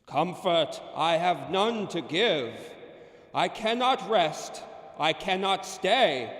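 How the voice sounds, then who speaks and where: High, echoing, mournful ghost. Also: male voice, expressive, fairly bright tone, full character voice. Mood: mournful, solemn. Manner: slow, solemn, wailing declamation. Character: mournful, stern male ghost of a dead business partner. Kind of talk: old-time radio drama acting. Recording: cavernous echo and long reverb.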